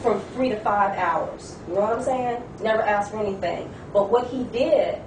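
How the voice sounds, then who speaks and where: A woman's voice: medium pitch, moderate pace. A woman giving an earnest account in a debate, listing details and repeating herself. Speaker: discussion participant. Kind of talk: testimony in a debate. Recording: echoey room, far from the mic, with clear noise.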